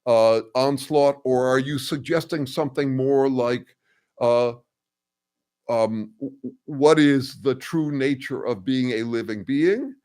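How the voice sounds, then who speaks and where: An older man hosting a casual livestream, thinking aloud with many ums, uhs and restarts as he answers viewers, and describing his own voice as a bass. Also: a little mellow. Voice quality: says he has a bass voice. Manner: thoughtful, halting, full of ums and restarts. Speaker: host answering viewer comments.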